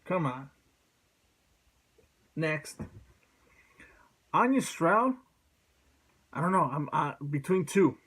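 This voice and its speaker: A man giving his opinions as a video host, talking casually and profanely while thinking out loud. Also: on the high side, animated, very slow, pauses often, slightly rough. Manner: informal, profane, thinking aloud.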